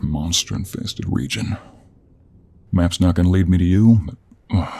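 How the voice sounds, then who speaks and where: A man playing a gruff, teasing, weary 49-year-old cowboy monster hunter in an intimate audio roleplay, with a husky Western American drawl. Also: low voice, heavily acted. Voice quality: gravelly, husky. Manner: slow, drawling, with heavy sighs and pauses. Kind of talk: intimate audio roleplay acting, addressed to the listener.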